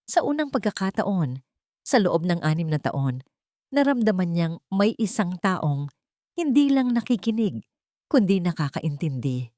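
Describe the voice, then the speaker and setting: A Filipino woman narrates a dramatic scripted story in a fairly low voice. The recording is dry and close, with very little room sound. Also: very animated, measured pace, dark tone, dry recording.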